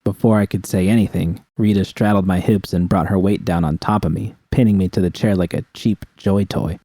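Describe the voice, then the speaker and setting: A man's voice: deep voice, fairly even intonation, a little fast, warm tone, no echo. A man narrating scripted first-person fiction as an audiobook reader.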